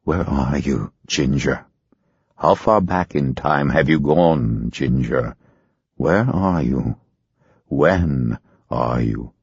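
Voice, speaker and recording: A man voicing a male hypnotist, giving soothing, slow, insistent commands. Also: extremely low pitch, lively intonation, breathy edge, no room sound, highs cut off, full character voice.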